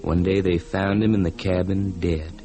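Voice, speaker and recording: A man's voice: deep voice, little pitch movement, moderate pace. An actor playing a folksy, plainspoken American frontier man who reflectively recounts a local haunting in a radio drama. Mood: wistful, reflective. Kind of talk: old-time radio drama narration. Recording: music behind the voice.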